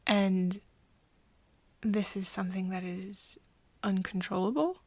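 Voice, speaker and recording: A woman acts out a hesitant, tender young woman in an ASMR roleplay drama. Her breathy voice crackles with fry and often sinks to a whisper. She talks slowly and haltingly, as if to a close friend. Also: mid-range voice, fairly even intonation, a little mellow, dry recording. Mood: puzzled, hushed. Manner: halting, hesitant, with nervous giggles. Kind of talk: soft ASMR roleplay acting addressed to the listener.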